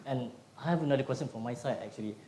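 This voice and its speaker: A man of middle pitch hosts a Q&A, friendly and upbeat as he invites questions. The room around him is echoey. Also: racing, warm tone, slight background noise. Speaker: event moderator. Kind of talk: hosting a Q&A. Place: meetup event room.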